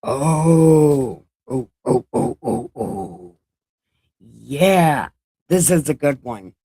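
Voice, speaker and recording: A woman's voice: deep voice, animated, extremely slow, dark tone, dry recording. A woman around forty who reads scripture to a live chat in a casual way, with profane, joking asides between passages. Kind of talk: casual read-along chat.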